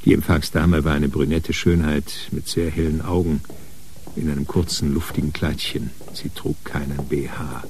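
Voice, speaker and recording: A man narrating a crime radio drama in a measured, dry storytelling manner. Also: a bass voice, level tone, darkish tone, slight background noise. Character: sober male narrator of a detective story.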